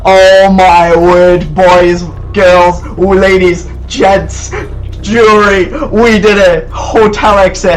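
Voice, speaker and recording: Slow-talking nervous gameplay host. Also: male voice, high-pitched, slight background noise, poor audio. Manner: nervous, muttering to himself, bursts of excitement. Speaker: let's play host. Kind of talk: live gameplay commentary.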